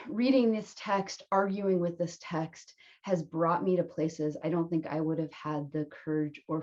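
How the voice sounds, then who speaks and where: A woman's voice: sits low, warm tone, muffled recording. A woman poet speaking informally and earnestly, gracious in tone, as she introduces her reading as a guest.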